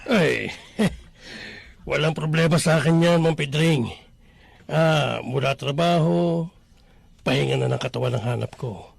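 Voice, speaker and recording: An actor playing an affable, deferential Filipino working man renting a room in a radio drama, chatty and chuckly, with a rough voice. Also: a little high, unhurried, long pauses, no room sound, full character voice. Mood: cheerful, affable.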